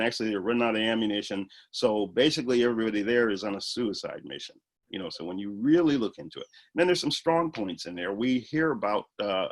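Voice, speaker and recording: Earnest man with a low voice. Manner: earnest, enthusiastic explaining with many fillers. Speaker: filmmaker guest. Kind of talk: interview answers.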